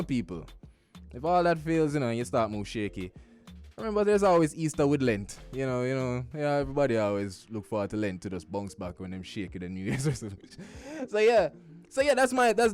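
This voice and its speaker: A man with a Jamaican accent hosting a radio talk show from a studio, joking and chatty, full of slang. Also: a little high. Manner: lively, joking, animated patter with slang.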